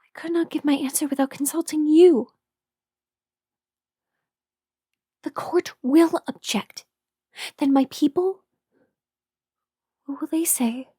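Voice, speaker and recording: A high-pitched, slow-speaking young queen played by a woman in a historical audio drama. She is proud yet trapped and distraught, with halting, emotional phrasing. The recording is close and dry. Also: warm tone, no echo. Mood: hushed, torn. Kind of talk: historical romance audio roleplay, dramatic monologue.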